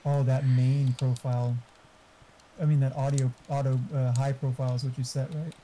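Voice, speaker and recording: Man with a very mellow, dark voice. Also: mid-range voice, flat delivery, normal speed, slight background noise, rough recording. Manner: informal, explanatory. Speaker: friend giving streaming tech advice. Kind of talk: casual tech chat.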